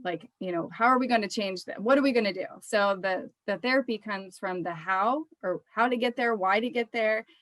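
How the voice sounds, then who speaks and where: A woman therapist speaks at a middling pitch in a thoughtful, hesitant way, with many ums. The sound is dry and close. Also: fairly quick, few pauses, fairly bright tone, dry recording. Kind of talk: casual conversation.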